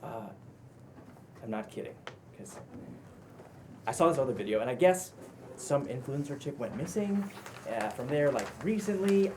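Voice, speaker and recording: A man playing a worried, sarcastic young best friend with casual, halting slang in a script read. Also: mid-range voice, animated, natural voice. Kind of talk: table read of a pilot script. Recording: faint background noise.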